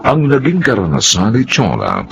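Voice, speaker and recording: A solemn male radio drama announcer with a deep voice and hugely animated pitch. He reads slowly and theatrically, over music. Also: vocal fry, highs cut off. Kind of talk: dramatic scripted radio introduction.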